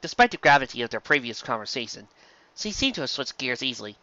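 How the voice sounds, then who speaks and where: A woman's voice: extremely low pitch, very animated, quick pace, bright, forceful tone, no echo. A woman narrating a story as a dramatic reading, on a dull, slightly muffled recording.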